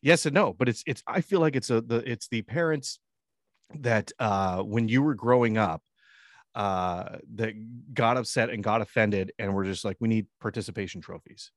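Low-voiced, profane man in casual banter. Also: normal speed, no echo, clean studio sound. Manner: profane, rambling, interjecting. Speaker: talk show co-host.

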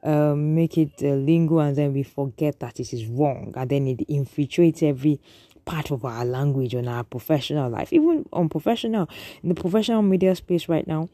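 A woman's voice: low voice, fairly quick, warm tone, no room sound. A Nigerian woman giving an earnest, lecturing opinion monologue to an audience, often restarting her sentences.

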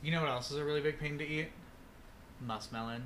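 Young man, podcast co-host, casual chat, swears a lot, bright tone, faint background noise. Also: on the high side, fast talker. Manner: loose, swears a lot, rambling with many fillers.

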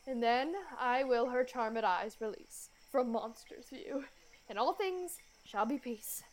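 A woman playing a commanding, calm fairy monarch in a Shakespearean radio play, speaking measured verse regally. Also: a little high, poor audio. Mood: regal, assured.

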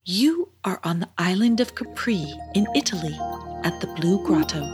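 A woman voicing a gentle, calm mermaid in a storybook, with a soothing manner. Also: fairly low pitch, moderate pace, over music, close to an everyday voice.

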